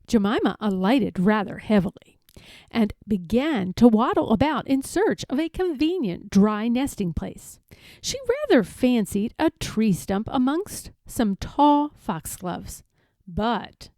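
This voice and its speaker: A woman narrating a children's story from a script, expressive and careful in her phrasing. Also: a little high, no room sound. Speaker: storybook reader.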